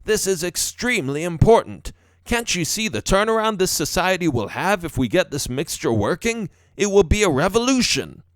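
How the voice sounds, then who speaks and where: A man's voice: high voice, sing-song, normal speed, no echo, pitched above the performer's own voice. A man voicing a storybook lab rat professor, earnest, fretful and fatherly, with an emphatic delivery. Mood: pleading, earnest. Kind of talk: storybook character voice.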